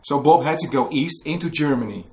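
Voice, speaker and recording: A man from the Netherlands with a Dutch accent, telling a story to an audience at a book talk and often pausing to search for English words. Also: fairly high pitch, a little mellow, rough recording. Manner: hesitant, searching for English words, many fillers. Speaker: author giving a book talk. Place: museum hall with a live audience.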